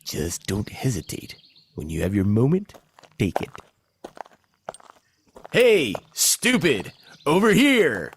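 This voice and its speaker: A man playing a bossy, insecure zombie hunter in a comedic audio play, with a husky voice and an excitable, commanding delivery. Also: medium pitch, slow, pauses often, a little hiss or hum, heavily acted. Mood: hushed, instructing, then taunting. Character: bossy, insecure male zombie-hunting team leader.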